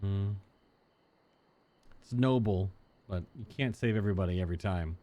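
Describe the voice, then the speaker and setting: A man's voice: deep voice, moderate pace, warm tone. A man giving casual live reactions as he plays a game, laconic, often murmuring short interjections.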